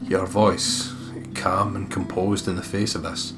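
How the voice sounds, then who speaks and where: A man's voice: low-pitched, flat delivery, a little slow, music in the background. A man reading a horror visual novel aloud as a dramatic, brooding first-person narrator. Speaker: let's player reading the game aloud.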